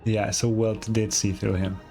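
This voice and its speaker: Low-voiced man, blunt gaming streamer. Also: little pitch movement, unhurried, vocal fry. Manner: blunt, sweary. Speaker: streamer reacting to a game. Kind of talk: casual live game commentary. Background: faint game music.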